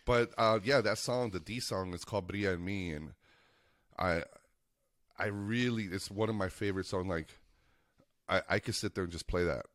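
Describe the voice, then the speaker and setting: Low-voiced guy, kinda stuffy nose, rambly casual podcast chat, dry close sound. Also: moderate pace, dry recording. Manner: relaxed, rambling storytelling with many fillers. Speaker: podcast co-host and piano player.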